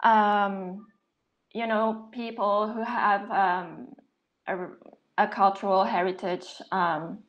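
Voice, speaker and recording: A woman talks slowly and hesitantly, stopping often to search for words. Her voice comes through dull, lo-fi video-call audio. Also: medium pitch, fairly even intonation. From France. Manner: hesitant, many fillers. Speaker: guest artist. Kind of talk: self-introduction on video call.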